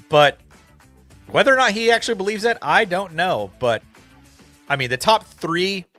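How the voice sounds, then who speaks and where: A man with a sharp, bright voice talks sports emphatically, leaning on plenty of "like"s as he goes. Faint music plays under him. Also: medium pitch. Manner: emphatic, filler-heavy with many "like"s. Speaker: co-host. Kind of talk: casual sports chat.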